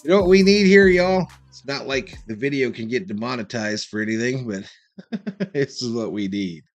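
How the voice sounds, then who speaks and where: A man's voice: mid-range voice, animated, normal speed, soft background music. A man hosting a casual live sports stream, calling plays as a fan, informally saying y'all and swearing. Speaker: live stream host doing fan play-by-play commentary.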